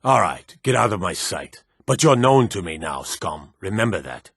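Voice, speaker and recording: Gruff, low-voiced fantasy city guard. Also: male voice, big pitch swings, moderate pace, a bit bright, dry recording, clean studio sound, exaggerated voice. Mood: contemptuous. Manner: gruff, authoritative, clipped threats. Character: stern, menacing fantasy city guard, weary and corruptible. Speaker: video game guard character. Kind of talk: scripted fantasy game voice lines.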